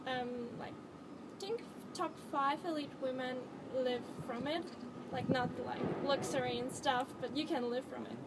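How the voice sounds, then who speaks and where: A young woman of eighteen from Latvia speaks casually as a panel guest, in a high voice. Loud event ambience and vehicle noise sit behind her. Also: a little slow. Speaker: panel guest, downhill mountain biker. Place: live panel discussion at a sports festival.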